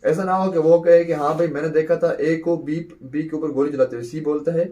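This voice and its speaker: A man's voice: fairly high pitch, fairly even intonation, a little fast, dark tone, recorded from a distance, dull audio. A man teaching a law lecture, who repeats points and answers his own questions. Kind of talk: explanatory lecture, mixing in English terms.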